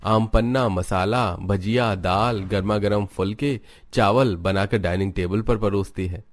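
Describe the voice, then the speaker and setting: A man reading scripted narration for an animated family story. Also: fairly low pitch, a little slow, no room sound.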